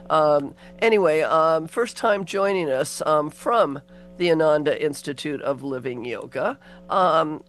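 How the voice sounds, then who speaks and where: A woman's voice: low voice, a little hiss or hum. A woman hosting a casual radio chat show, talking in a chatty, rambling way with many ums, her voice worn down by allergies. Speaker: radio host.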